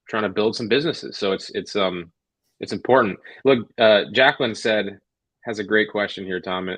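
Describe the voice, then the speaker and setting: A man's voice: fairly low pitch, fairly bright tone, dry recording, rough recording. A man born and raised in Hawaii co-hosting a casual livestream, thinking aloud and often repeating words. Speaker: co-host.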